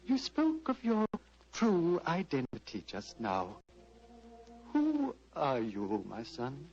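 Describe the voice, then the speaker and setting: An actor playing an old, fatherly Tibetan monastery lama in a radio drama, speaking formally and with gentle wistfulness. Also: on the high side, huge pitch swings, slow, full character voice. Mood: wistful, tender. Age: older. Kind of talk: 1940s radio drama acting. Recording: muffled vintage radio recording.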